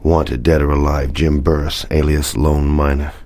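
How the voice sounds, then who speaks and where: A man playing a stern old-west narrator in a video game, reading wanted posters with deadpan, wry warnings. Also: extremely low pitch, normal speed, dry recording. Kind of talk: scripted western game narration.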